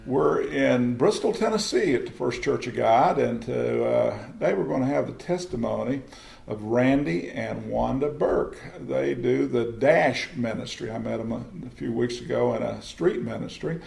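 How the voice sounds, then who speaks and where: Brief: middle-aged host, very gravelly mellow voice, halting intro, echoey church. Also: male voice, mid-range voice. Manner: halting, with many fillers. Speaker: host of a church testimony program. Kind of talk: program introduction.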